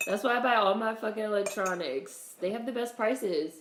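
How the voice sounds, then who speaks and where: A woman hosting a casual livestream, greeting viewers in a relaxed, chatty way while congested with a cold. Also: medium pitch, normal speed, roomy sound, slight background noise. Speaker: livestream host.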